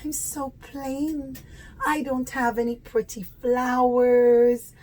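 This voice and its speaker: A woman voicing a small, humble male mountain in a puppet show, modest, meek and soft-spoken. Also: sits high, level tone, slow pace, slight background noise, poor audio. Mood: meek, grateful.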